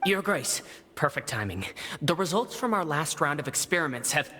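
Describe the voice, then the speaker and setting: A man's voice: on the high side, big pitch swings, moderate pace, noisy background. A man playing a skeptical, irreverent researcher in a video game, with a dry delivery. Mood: wary. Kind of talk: video game character acting. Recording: long reverb with faint game music.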